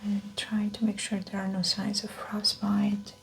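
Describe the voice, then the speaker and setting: A woman whispering softly in an ASMR medical exam roleplay, calmly talking through each step of the check. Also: mid-range voice, measured pace, slight background noise.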